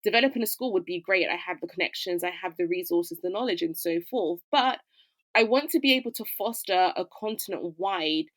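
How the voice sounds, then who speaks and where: A woman's voice: on the low side, brisk, fairly bright tone, no room sound. A woman, a fashion educator, answering interview questions in a conversational, reflective way with frequent fillers. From United Kingdom.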